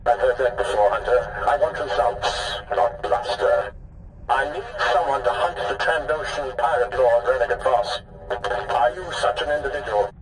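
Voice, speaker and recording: A man's voice: mid-range voice, moderate pace, a little hiss or hum, close to an everyday voice. Roomy, distant, haughty alien aristocrat acted by a man. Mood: formal, imperious. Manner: formal, clipped, imperious. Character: haughty, formal male alien aristocrat, coldly demanding. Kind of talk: video game cutscene acting.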